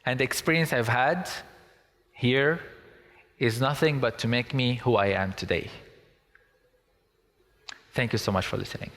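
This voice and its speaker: A man's voice: medium pitch, measured pace, pauses often, fairly bright tone, a little hiss or hum. Earnest young man speaking in a hall. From Syria. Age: young adult. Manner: earnest, emphatic and direct. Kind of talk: public talk. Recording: long hall reverb.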